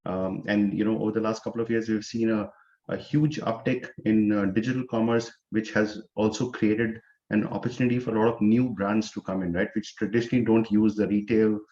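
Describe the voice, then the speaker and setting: A man, a startup co-founder answering interview questions in a measured way, often saying "you know". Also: fairly low pitch, fairly even intonation, darkish tone, highs cut off. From India.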